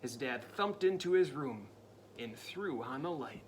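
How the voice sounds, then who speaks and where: A man reading a picture book aloud to a child, dramatic and playful, dropping into spooky whispers and jumping to loud cries. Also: medium pitch, animated, a little slow, slight background noise. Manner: playful asides to the listening child. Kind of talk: storybook read-aloud.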